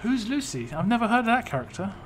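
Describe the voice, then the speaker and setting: A man's voice: sits high, very animated, quick pace, a little hiss or hum. A man casually chatting on a live stream, reading viewer comments aloud and answering them as he plays. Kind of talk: casual live stream chat while playing a mobile game.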